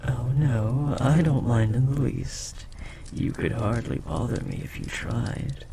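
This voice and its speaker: A man voicing a powerful, possessive demon bound to a master, speaking formally and theatrically in a breathy, gravelly voice in an audio roleplay. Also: mid-range voice, slow. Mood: proud, devoted. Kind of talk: dramatic audio roleplay monologue. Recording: clear background noise, ambience.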